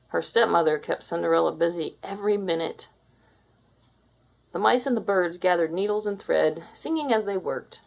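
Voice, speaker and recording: A woman's voice: fairly low pitch, creaky, low-quality recording. A woman narrating a children's fairy tale as a scripted read-aloud.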